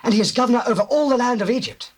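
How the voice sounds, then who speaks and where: An excited Hebrew messenger in a biblical audio drama. He calls out quickly in a very high, rough-edged voice. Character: excited Hebrew brother bringing news. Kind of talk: theatrical scripture drama.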